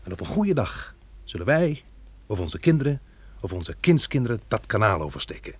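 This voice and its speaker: An actor playing a steady, resolute man who leads survivors in a radio drama. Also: lowish voice, moderate pace, slightly rough, dry recording, exaggerated voice. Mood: earnest, reflective. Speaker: lead actor and narrator in a radio play.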